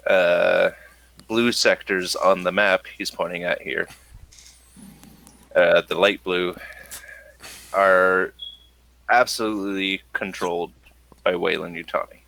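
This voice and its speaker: Male game master, very deep and slow, improvised tabletop exposition with halting phrasing. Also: creaky, bright, forceful tone, rough recording.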